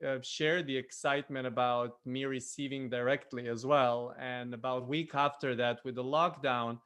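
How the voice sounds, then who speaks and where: A man's voice: medium pitch, steady tone, moderate pace, a bit bright, smooth. A man whose first language is Serbian, telling his own story in casual chat on a video call, hesitant with many fillers. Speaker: presenter on a spiritual show. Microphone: computer mic on a video call.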